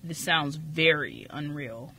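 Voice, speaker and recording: A skeptical policeman , acted by a man with a bright, sharp, fairly high voice. He questions formally and evenly in an amateur radio play, with clear noise on the recording. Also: takes it slowly, full character voice. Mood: skeptical, procedural. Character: skeptical male police officer questioning a suspect. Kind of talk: amateur radio drama acting.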